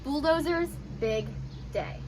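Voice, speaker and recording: A woman reading a children's story aloud as an expressive storyteller. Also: sits high, slow, slight background noise.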